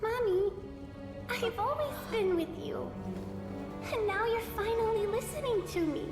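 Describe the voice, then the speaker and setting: Echoing, very high little spirit girl. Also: expressive, unhurried. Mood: gentle, wistful. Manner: plaintive, childlike. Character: small lonely spirit girl, wistful and fragile. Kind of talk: video game voice acting. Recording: long echoing reverb under music.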